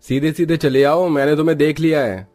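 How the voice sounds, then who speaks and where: A man's voice: a little high, steady tone, brisk, darkish tone, faint music behind, lightly acted. A man voicing an easygoing young food-loving boyfriend in a cartoon story, casual and breezy.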